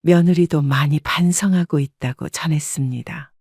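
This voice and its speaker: A woman in her sixties narrating her own story in the first person, calm and reflective. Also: sits low, moderate pace, no room sound, clean studio sound.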